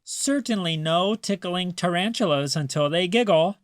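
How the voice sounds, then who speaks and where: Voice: mid-range voice, animated, normal speed, no room sound, studio quality, voice pushed up, close to an everyday voice. A reader voicing a playful, teasing grandmother in a children's storybook, with a mock-serious, coaxing manner.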